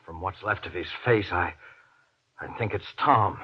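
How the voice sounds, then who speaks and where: Husky, panicky husband. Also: male voice, low-pitched. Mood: alarmed. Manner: agitated, snapping, halting. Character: tense, short-tempered husband panicking underground. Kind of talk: 1940s radio horror acting. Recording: dull, lo-fi old radio recording.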